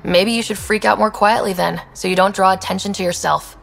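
An actress playing a young, direct space explorer in a video game, with a casual, inquisitive, wry way of talking. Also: female voice, mid-range voice, fairly even intonation, fairly quick, gravelly. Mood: concerned. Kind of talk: scripted game dialogue.

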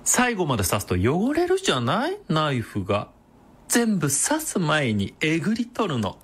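Husky, campy, sadistic villain. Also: male voice, fairly high pitch, wildly swooping pitch, moderate pace, exaggerated voice. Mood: sadistic, boastful. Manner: campy, mincing, feminine phrasing. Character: sadistic effeminate male knife-wielding villain, taunting and vain. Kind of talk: radio drama acting.

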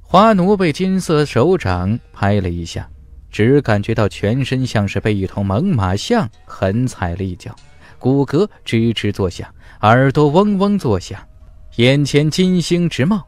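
A man's voice: mid-range voice, big pitch swings, a little slow, a little mellow, no echo. A man narrating a fantasy audiobook from a script in a dramatic, emphatic storytelling style.

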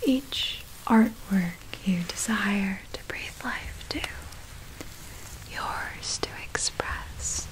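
A woman voicing a seductive, possessive vampire in an ASMR roleplay, with a breathy whisper and deliberate, hypnotic, ornate phrasing. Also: mid-range voice, rough recording. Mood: tender, enticing. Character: seductive, possessive vampire turning a captive into immortal.

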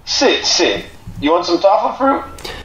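An actor playing a fawning, theatrical con-artist seer in an audio drama, speaking in a mysterious manner. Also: male voice, high voice, very animated, a bit bright, highs cut off, heavily acted. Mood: oily welcome. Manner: theatrical, mysterious, drawn-out speech. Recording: roomy with faint background noise.

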